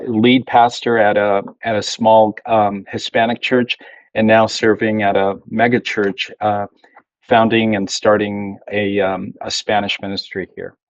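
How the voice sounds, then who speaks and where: A middle-aged man from Ecuador, a pastor being interviewed, telling his story in a measured, reflective way. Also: on the low side, no room sound, highs cut off. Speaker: Spanish ministry pastor, guest. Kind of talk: conversational interview.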